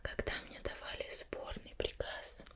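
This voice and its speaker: Woman, soft whisper, ASMR roleplay, formal interviewer, gentle, unhurried questioning, deliberate pauses.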